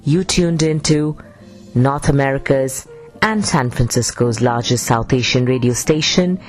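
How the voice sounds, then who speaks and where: A woman's voice: extremely low pitch, a little slow, faint music behind, low-quality recording. A woman hosting a radio talk show, introducing guests in a chatty way that often restarts. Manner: chatty, repeats words and restarts.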